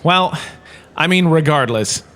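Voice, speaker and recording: Man playing a vain, theatrical male lecturer, highish voice. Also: expressive, measured pace, a bit bright. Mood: chatty, self-satisfied. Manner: theatrical, self-important, rambling. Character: vain, bumbling washed-up holodrama star turned history lecturer. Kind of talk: comedic in-universe audio drama lecture.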